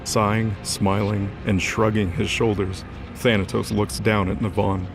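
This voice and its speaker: A male narrator reads scripted dramatic fiction. His voice sits low and has a gravelly fry to it. Music plays clearly behind the narration. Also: level tone, a little slow. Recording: music under the voice.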